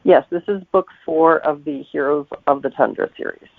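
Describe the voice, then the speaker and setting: Creaky-voiced man, dry lo-fi audio. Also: a little high, moderate pace. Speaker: guest novelist. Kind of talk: conversational podcast interview. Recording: dry, slightly dull lo-fi audio.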